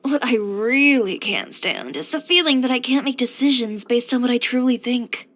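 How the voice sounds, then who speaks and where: A woman's voice: fairly high pitch, expressive, normal speed, a bit bright. An actress playing a headstrong, composed young woman who runs a boutique, speaking frankly and with dry confidence, as video game character voice lines. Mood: frank, defiant. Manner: matter-of-fact, frank, dryly confident delivery.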